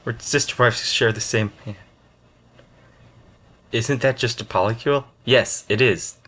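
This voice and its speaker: A man giving casual, unscripted reaction commentary as a video host, in a halting, rambling way with thoughts that trail off. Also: medium pitch, pushed and bright.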